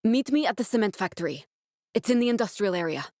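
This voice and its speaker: A woman's voice: mid-range voice, lively intonation, quick pace, darkish tone, no echo, clean studio sound, natural voice. Actress as an earnest, guarded woman scientist. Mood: calm, instructive. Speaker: video game voice actress. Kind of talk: video game dialogue.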